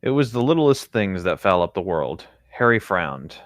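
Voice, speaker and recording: A man's voice: deep voice, sing-song, moderate pace, no echo. A man co-hosting a read-aloud of a story, narrating the prose and its dialogue with brief reactions. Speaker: co-host reading fan fiction aloud.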